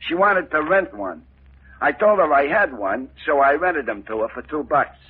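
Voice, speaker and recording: An actor playing a sly, wisecracking reformed pickpocket who now runs a cheap hotel, in 1940s radio acting. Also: male voice, a little high, moderate pace, husky. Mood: genial. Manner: genial, wisecracking. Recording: lo-fi old radio broadcast.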